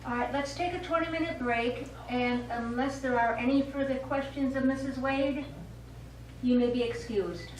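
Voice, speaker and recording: A woman playing a formal, measured courtroom judge in a live stage play. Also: high voice, recorded from a distance, close to an everyday voice. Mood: composed. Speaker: stage actor. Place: small theatre studio, recorded from the audience. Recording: echoey stage recording from afar, clear noise.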